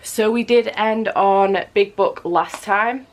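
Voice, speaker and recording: A woman's voice: medium pitch, slow pace. A young woman with a cold and a stuffy nose, chatting to camera as a book vlogger, repeating words for emphasis. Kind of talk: casual chat to camera. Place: home room with building work nearby.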